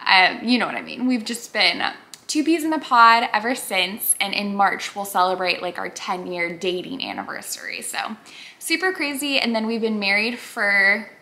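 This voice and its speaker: A woman's voice: medium pitch, big pitch swings, normal speed, pushed and bright. A woman in her twenties chatting casually to camera in a get-to-know-me vlog, bubbly and full of filler words. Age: 20s. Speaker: YouTuber.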